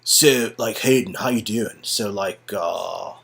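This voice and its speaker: A man with a husky voice narrating a story game and improvising dry, halting, sarcastic asides. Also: fairly low pitch, a bit bright. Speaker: narrator and reader.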